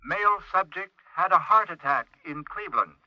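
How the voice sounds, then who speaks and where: An actor playing a blunt game computer that announces uncomfortable truths in a clipped, impersonal manner for a radio drama. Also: male voice, sits high, lively intonation, normal speed, dry recording. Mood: coldly factual. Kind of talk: 1980s radio drama acting. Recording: dull, band-limited radio sound.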